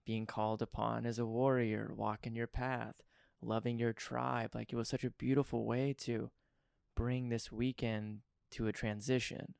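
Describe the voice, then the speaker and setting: A young adult man hosting a podcast interview, talking in an earnest, effusive way with a voice worn hoarse. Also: mid-range voice, little pitch movement, unhurried, a little mellow, no room sound, clean studio sound. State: partly lost voice. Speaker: podcast host. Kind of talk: casual interview chat.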